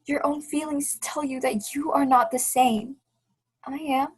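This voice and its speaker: A woman's voice: high-pitched, steady tone, measured pace. A young woman playing a heartbroken but resolute, dignified fiancée in a radio play, speaking earnest, formal period English. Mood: wounded, resolute. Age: young adult.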